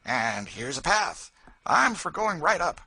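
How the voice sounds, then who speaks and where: A man reading aloud, voicing a brash, coarse slave catcher who blusters loudly. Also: a little high, sing-song, takes it slowly, vocal fry, breathy edge, pitched above the performer's own voice, exaggerated voice. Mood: blustering. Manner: loud, blustering, coarse frontier speech.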